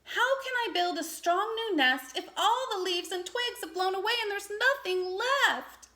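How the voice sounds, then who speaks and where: A woman's voice: extremely high pitch, animated, measured pace, pushed and bright, pitched above the performer's own voice, full character voice. A woman voicing a kind, earnest young male hedgehog in a children's storybook. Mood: anxious. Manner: earnest, exclaiming.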